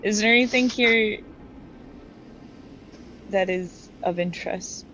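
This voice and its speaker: A woman's voice: mid-range voice, takes it slowly, bright, forceful tone, lo-fi sound, slight background noise. A woman playing a tabletop game, chatting casually in character. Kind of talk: casual in-character roleplay chat.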